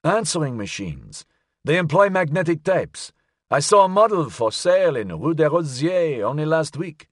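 A man voicing an elderly, courteous Frenchman who speaks in a formal, precise, measured way in an audiobook. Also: fairly high pitch, big pitch swings, dry recording, pitched above the performer's own voice. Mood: mildly reproving. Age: older. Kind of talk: audiobook character acting.

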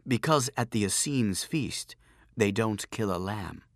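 A man voicing a grave, cautious male protector in an audiobook, speaking in a quiet, subdued manner. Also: fairly low pitch, animated, unhurried, no echo, studio quality. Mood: grave, guarded. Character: grave, cautious male host sheltering fugitives.

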